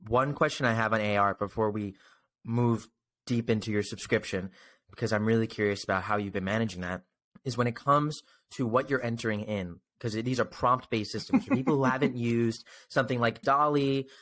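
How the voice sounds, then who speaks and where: A man's voice: mid-range voice, fairly quick, a bit bright. A man in his twenties hosting a casual interview, asking earnest, wordy questions. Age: 20s. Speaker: podcast host. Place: small room, indoors. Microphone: close desktop microphone, video call.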